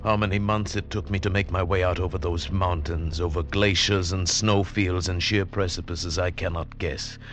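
An actor playing a weary, awestruck mountain wanderer gone blind, narrating slowly and dramatically in a 1940s radio drama. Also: male voice, low-pitched, fairly even intonation, lightly acted. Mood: weary, haunted. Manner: slow, rapturous, dramatic narrating. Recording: muffled vintage radio recording, music behind.

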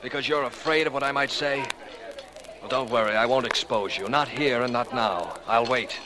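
A man plays a vengeful younger scientific assistant in a 1940s radio mystery. He is accusing and sarcastic, with menace in his middle-pitched voice. A crowd is clearly heard behind him on the vintage recording. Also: normal speed, natural voice. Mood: menacing. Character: bitter, vengeful younger male research assistant. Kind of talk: 1940s radio drama acting.

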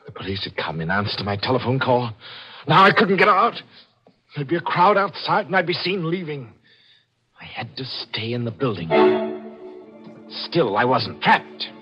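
A man's voice: medium pitch, very animated, moderate pace, long pauses, breathy edge. An actor playing a cunning, cold-blooded man who narrates his own murder scheme in tense, dramatic 1940s radio style. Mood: agitated scheming. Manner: tense dramatic swings from hushed to frantic. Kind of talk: 1940s radio mystery acting. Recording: muffled old radio broadcast, music behind.

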